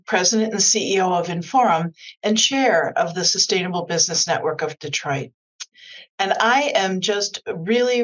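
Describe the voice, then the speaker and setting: An older woman hosting a podcast interview over a video call, introducing her guest with enthusiasm and a slightly halting delivery. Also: lowish voice. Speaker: podcast host. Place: office meeting space, indoors. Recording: dull lo-fi video call audio.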